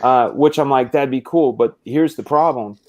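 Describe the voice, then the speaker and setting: A man's voice: mid-range voice, normal speed, darkish tone, lo-fi sound. A man talking as a guest artist in a casual video-call chat, explaining things at length with many restarts.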